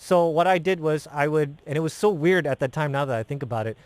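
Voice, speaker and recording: A radio host who grew up in Turkey tells a lively story and acts out the dialogue as he goes. His voice sits slightly high. The studio sound is close and dry. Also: quick pace, clear, resonant tone, dry recording. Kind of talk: casual radio chat, storytelling.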